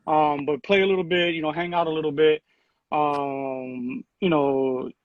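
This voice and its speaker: A man in his thirties talks easily about his background, often pausing on "um" and "uh". His voice sits a little higher than most men's. The sound is dry and somewhat dull. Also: no echo. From Puerto Rico. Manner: relaxed, full of fillers and restarts. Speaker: city program director. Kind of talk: conversational interview answers.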